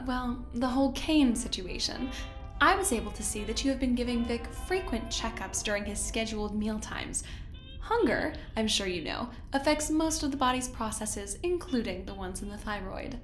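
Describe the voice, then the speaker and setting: A smug woman running corporate research in a sci-fi audio drama, played by an actress. Her high voice is sweetly polite with menace underneath. The sound is roomy, with faint music behind. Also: natural voice. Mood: smug, condescending. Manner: falsely sweet, condescending, theatrically polite.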